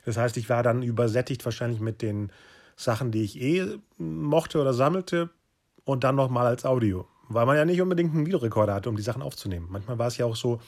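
A middle-aged man with a mid-pitched voice hosts a casual podcast interview. He chats informally, putting questions and talking in a conversational style. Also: fast, a little mellow. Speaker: podcast host, storyteller.

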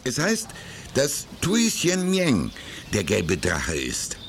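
An actor playing a grave martial arts trainer in a children's audio drama, speaking in a dramatic, ominous way. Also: male voice, mid-range voice, wildly swooping pitch, slow, vocal fry, slight background noise, full character voice.

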